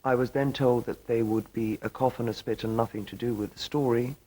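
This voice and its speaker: A man speaks in a measured interview style. His voice sits at a middle pitch and has a husky, rough edge. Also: steady tone, mellow, rough recording.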